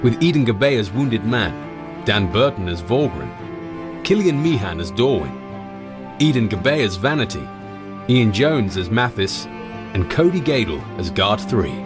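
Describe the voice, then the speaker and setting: A man's voice: on the low side, very animated, unhurried. A man reading a scripted title and credits announcement as an announcer. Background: music.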